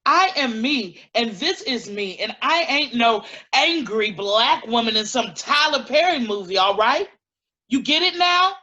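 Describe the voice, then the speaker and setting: A blunt, indignant woman, played by an actress in a stage drama. Her husky voice sits fairly high and swings widely as she speaks, slow and emphatic. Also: expressive, bright, forceful tone, highs cut off, full character voice. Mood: indignant anger. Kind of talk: naturalistic staged play reading.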